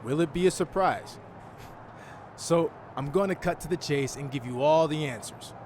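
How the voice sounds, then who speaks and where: An actor playing a cocky, determined young pro wrestler in a video game cutscene, speaking with confident challenge. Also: male voice, fairly high pitch, moderate pace, a little mellow, slight background noise, close to an everyday voice. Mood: confident, challenging.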